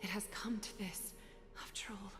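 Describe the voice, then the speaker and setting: A woman with a British accent playing a composed, regal leader in a fantasy video game, hushed and stately. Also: mid-range voice, steady tone, moderate pace, in a whisper, natural voice. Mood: resigned, composed. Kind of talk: scripted video game voice acting. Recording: echoing game audio over music.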